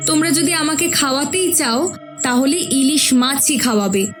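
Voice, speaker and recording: A woman's voice: sits high, normal speed, fairly bright tone, soft background music. A woman voicing a sullen possessed daughter in a horror cartoon, stubborn, cold and menacing. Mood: stubborn, demanding.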